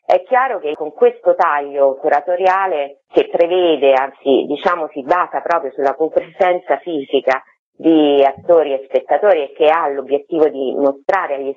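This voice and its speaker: A woman's voice: low voice, no room sound. A woman interviewed by phone, explaining in a wordy, reflective way and rephrasing as she goes. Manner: wordy, reflective, self-correcting. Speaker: festival artistic director, interview guest. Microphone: telephone. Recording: tinny, dry phone line.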